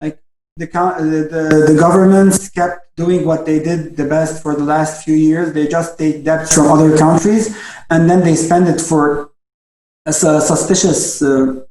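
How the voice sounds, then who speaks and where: A young man from Lebanon, a doctor, answering interview questions over a video call, halting and restarting his sentences. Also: on the high side, warm tone, reverberant, low-quality recording. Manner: halting, frequent restarts and stammers. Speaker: guest doctor.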